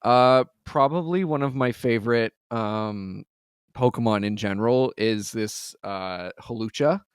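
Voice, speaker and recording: Podcast host, man with a deep, resonant voice, loose rambling chatter, dry close sound. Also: unhurried, clear, resonant tone, dry recording. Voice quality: deeper voice. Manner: laughs often, loose and rambling, swears casually. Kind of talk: casual interview chat.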